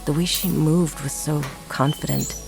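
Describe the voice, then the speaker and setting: A woman's voice: deep voice, steady tone, moderate pace, vocal fry, a little mellow. A woman giving a scripted narration of a horror audio drama. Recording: music and crowd noise behind narration. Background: music, crowd sounds.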